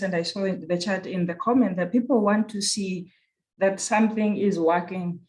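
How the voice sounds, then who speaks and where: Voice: medium pitch, low-quality recording. A speaker from Kenya with a Kenyan accent, giving an earnest, reflective talk at a virtual conference as a university program director, often circling back to rephrase a point.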